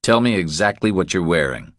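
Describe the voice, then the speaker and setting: A man's voice: sits low, expressive, fairly quick, fairly bright tone, no echo, clean studio sound, pitched above the performer's own voice. A man voicing a terse, self-possessed drifter hero in thriller audiobook dialogue. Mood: commanding.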